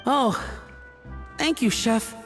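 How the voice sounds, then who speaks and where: An actress voicing a timid, apologetic young kitchen helper in a video game, hesitant and stammering. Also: female voice, fairly high pitch, drawn-out words, soft background music. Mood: shy.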